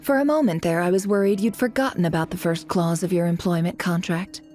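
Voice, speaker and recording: A woman's voice: lowish voice, brisk, darkish tone, soft background music, close to an everyday voice. An actress voicing a proud, lazy, sardonic young woman boss in a video game, with an arch and teasing delivery. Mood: wry, bossy. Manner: arch, teasing, self-satisfied. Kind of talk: English video game dub acting.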